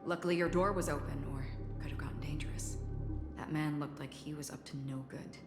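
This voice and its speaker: A solemn, mysterious swordswoman from a video game, played by a woman. Her voice is low, calm and measured, polite yet reserved. It echoes with music playing behind. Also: close to an everyday voice. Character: calm, enigmatic, solemn wandering female swordswoman.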